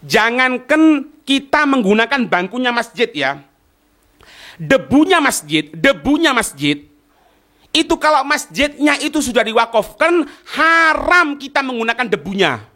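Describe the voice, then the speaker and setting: A man's voice: very high voice, sing-song, unhurried, sharp tone. A man with an East Javanese accent giving an informal religious lecture as an Islamic teacher, explaining point by point and asking and answering his own questions. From East Java, Indonesia. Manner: asks and answers own questions, checks listeners understand.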